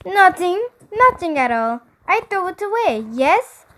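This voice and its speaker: A woman puts on a little girl playing a grand hairstylist in a storybook. The voice is high, with a mock French accent. She is bratty and theatrical, coaxing and insistent. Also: very animated, a little slow, bright, forceful tone, exaggerated voice. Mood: coaxing, breezy.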